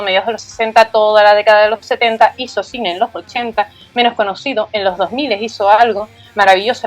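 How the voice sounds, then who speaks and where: A woman's voice: medium pitch, muffled recording. A woman guest talking about film, thoughtful and full of fillers and tag questions. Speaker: guest, art historian. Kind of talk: conversational film talk.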